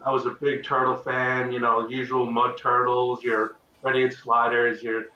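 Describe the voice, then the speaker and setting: A man speaks as a guest over a video call, his bright voice set back from the mic in a roomy space. The audio is muffled and dull. He rambles and restarts his sentences as he talks. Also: medium pitch, flat delivery, unhurried, far from the mic, a little hiss or hum. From Texas, north of Dallas. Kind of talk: casual interview talk.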